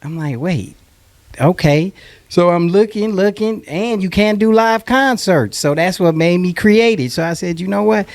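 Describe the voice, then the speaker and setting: A man's voice: high-pitched, a little slow, no room sound. A man from Illinois, an app founder, telling his story in a rambling way with many fillers. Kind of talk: casual interview.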